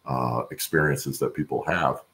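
A middle-aged man with a gravelly voice answering interview questions conversationally on a video call from home, haltingly thinking aloud. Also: a bass voice, fairly even intonation, a bit bright, slight background noise. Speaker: guest, supply chain expert and voice-over announcer.

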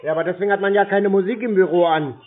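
A man's voice: sits high, steady tone, rapid-fire. A man answering a sales call with curt, contrary, teasing replies, sparring dryly with the caller. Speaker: scam-baiter taking a sales call. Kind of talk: dry phone sparring. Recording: thin narrow-band phone call sound.

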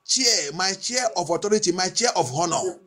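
A man's voice: sits high, lively intonation, normal speed, a bit bright. A male pastor praying and preaching fervently, repeating phrases insistently like a chant as he moves between two languages. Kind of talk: fervent charismatic prayer sermon, switching between French and English.

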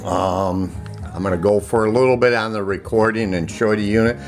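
A man giving a casual, unscripted product demonstration in a plainspoken, halting way from behind a handheld camera indoors. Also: on the low side, steady tone. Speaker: online seller demonstrating an item. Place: indoors, room in a home. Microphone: handheld camera, speaker off screen behind it. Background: music playing from audio equipment.

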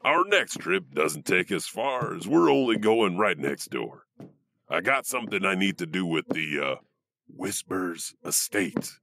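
A man acts out a creepy janitor hosting an audio horror anthology. His voice is gravelly and forceful, swinging widely in pitch on the low side. He muses theatrically, with a wry, playful edge. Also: very animated, a little slow, a little hiss or hum, exaggerated voice. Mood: wry, eerie. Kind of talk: horror anthology host narration.

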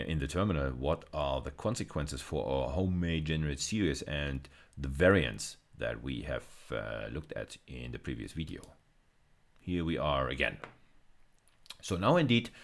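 A man, lecturing step by step in a deliberate, explanatory way with frequent check-in tags. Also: rumbling low, gravelly. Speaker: university lecturer. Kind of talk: explanatory video lecture with live coding demo.

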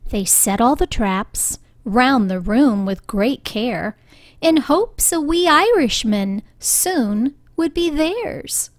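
A woman reading a children's story aloud with deliberate, expressive phrasing. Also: on the high side, no room sound. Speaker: storybook reader. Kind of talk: children's read-aloud narration.

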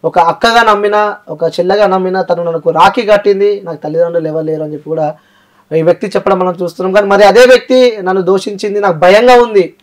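Male interview host, high voice, rapid delivery, Telangana accent, emphatic explainer, mixes in English. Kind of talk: news-channel interview hosting, explanatory.

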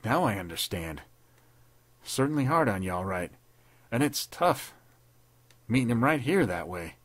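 A man's voice: on the low side, big pitch swings, a little slow, no echo. A man playing a blunt army lieutenant who sides with his captain. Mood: indignant. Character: sympathetic male army lieutenant.

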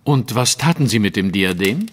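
An actor playing a sceptical detective in an audio drama, putting brisk, inquisitive questions. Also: male voice, fairly low pitch, animated. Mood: probing. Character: probing, sceptical male detective.

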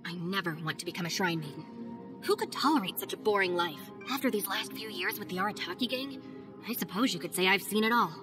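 A woman's voice: medium pitch, animated, quick pace, over music, close to an everyday voice. A woman voicing a wry, candid young ninja in a game. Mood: confiding. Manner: wry, candid, quietly confiding. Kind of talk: game cutscene voice acting.

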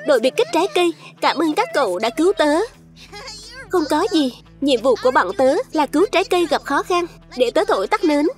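A woman's voice: sits high, animated, brisk, faint music behind. A woman voicing a plucky young fruit hero in a children's cartoon dub, earnest and exclamatory. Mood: heroic.

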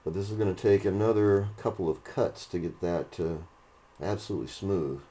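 A man giving a casual, unhurried hands-on demonstration, explaining each step as he works. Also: deep voice, fairly even intonation, mellow. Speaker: hobbyist demonstrator, host. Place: indoor hobby room. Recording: noisy room, machine noise.

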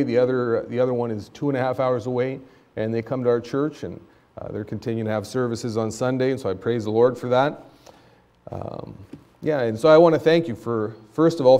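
A middle-aged man who grew up speaking Spanish at home, giving an earnest, conversational missions talk from a church pulpit. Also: a little mellow. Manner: earnest, conversational, rises in pitch when stressing a point. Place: church sanctuary stage at a pulpit.

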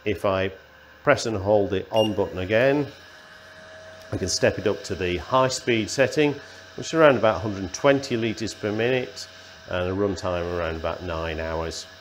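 A man's voice: fairly low pitch, normal speed, slight background noise. A man demonstrating welding safety equipment in a studio, explaining plainly with many ums and uhs. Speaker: product demonstrator. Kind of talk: product demonstration. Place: studio with green table, indoors.

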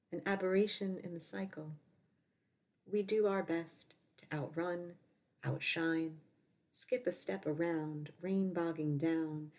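A woman poet reading her poetry aloud in short, deliberate phrases with pauses. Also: fairly low pitch, darkish tone.

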